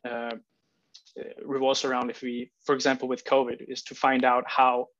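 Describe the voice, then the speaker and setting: Flat-voiced Icelandic man, dull audio. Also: mid-range voice, normal speed. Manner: hesitant, many fillers and restarts, explains patiently. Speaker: guest, immunology researcher. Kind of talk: casual interview.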